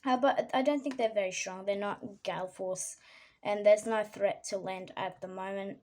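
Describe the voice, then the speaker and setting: Croaky Australian woman with a cold. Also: mid-range voice, steady tone, normal speed, a little mellow. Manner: hesitant, many ums, frequent throat clearing. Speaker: amateur weather forecaster. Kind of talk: casual weather forecast commentary.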